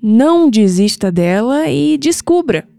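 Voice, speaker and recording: Kindly old Brazilian grandmother played by a woman, slow and mellow, audio drama with music bed. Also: high-pitched, very animated, vocal fry, no echo, studio quality, exaggerated voice. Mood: tender, calm. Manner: tender, reassuring, unhurried. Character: kindly old grandmother who reads cards. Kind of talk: scripted audio drama acting.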